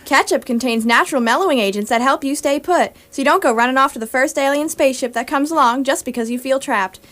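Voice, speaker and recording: Woman acting a high-voiced, soothing friend. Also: fast, a bit bright. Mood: soothing, coaxing. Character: concerned, soothing woman friend offering daft advice. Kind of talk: comedic radio sketch acting.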